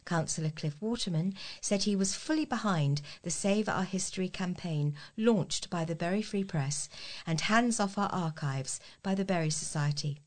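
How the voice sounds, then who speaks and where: A woman reading scripted local news as a volunteer newsreader. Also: medium pitch.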